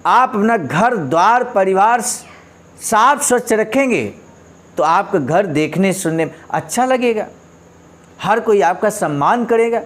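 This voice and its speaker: High-pitched, animated man giving a motivational talk. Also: takes it slowly. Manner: emphatic, didactic, rhetorical questions, repeats points for stress. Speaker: speaker explaining a leader's speech. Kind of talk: motivational address, reading quotes and explaining them.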